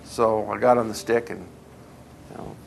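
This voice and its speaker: Low, gravelly man reminiscing. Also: steady tone, normal speed, faint music behind, poor audio. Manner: anecdotal, quotes remembered conversations. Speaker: interviewee. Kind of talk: documentary interview, recalling past events.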